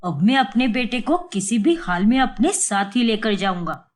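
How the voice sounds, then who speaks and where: A woman voicing an angry, stubborn young father who scolds and shouts back. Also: on the high side, moderate pace, pitched below the performer's own voice, lightly acted.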